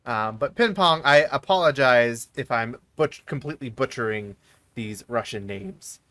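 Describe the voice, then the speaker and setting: A man's voice: medium pitch, animated, moderate pace, pushed and bright, no echo. Rambling male gaming streamer. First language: not English. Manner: rambling, mumbling asides with fillers. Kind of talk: casual let's play commentary.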